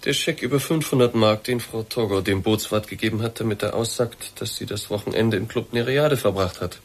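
An actor in a German radio play voicing a formal, persistent male boss, polite, measured and insistent. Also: fairly low pitch, breathy edge. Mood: firm, revealing.

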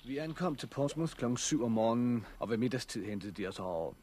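An actor playing a steady, practical survivor and family protector in a 1960s radio drama. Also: male voice, medium pitch, a little hiss or hum, natural voice. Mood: calm, resolute.

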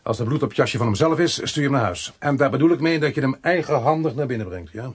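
An actor playing a blunt, sardonic, bossy police inspector who mutters asides in a Dutch radio crime drama. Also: male voice, mid-range voice, lively intonation, quick pace, slightly rough. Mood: brisk, businesslike. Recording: noisy radio drama recording.

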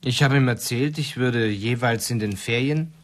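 An actor playing an earnest, troubled English gentleman in a 1970s radio play, with a grave and hesitant manner. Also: medium pitch, normal speed, no room sound, close to an everyday voice.

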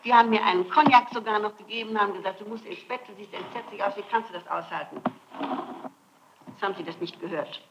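A woman's voice: medium pitch, fast talker. A middle-aged woman being questioned as a suspect, defending herself insistently and repeating her points emphatically. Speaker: suspect under interrogation. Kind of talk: defensive testimony in an interrogation. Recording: noisy archival tape recording.

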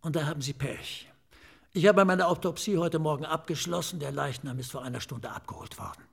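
A slightly eccentric forensic pathologist in a radio drama. His voice is gravelly and rough, set a little high for a man. He explains clinical matters in measured phrases, confiding and relishing each detail. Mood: animated, wry. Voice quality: raspy, creaky. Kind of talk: German radio drama acting.

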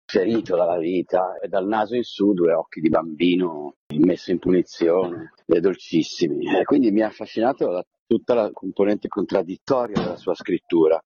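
A man with a very husky, low, dark voice gives a reflective interview as a theatre director. He speaks with passion and wanders off, often checking for agreement. The sound is dry and slightly dull. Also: dry recording. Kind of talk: conversational interview, reflective storytelling.

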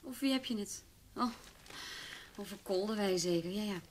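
A woman's voice: medium pitch, moderate pace, noisy background, lightly acted. An actress in a radio play playing a sceptical, jealous female partner with a blunt, dry way of talking. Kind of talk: naturalistic radio play dialogue.

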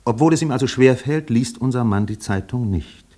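An actor playing a wry narrator in a literary radio play, telling it in a measured, lyrical way. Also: male voice, mid-range voice, dark tone, lightly acted.

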